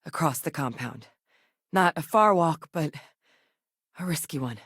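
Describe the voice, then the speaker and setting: An actress playing a composed, determined female military researcher in a sci-fi video game, speaking in a measured, earnest way. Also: low-pitched, animated, fairly bright tone, husky, no echo, clean studio sound, full character voice. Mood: focused, businesslike.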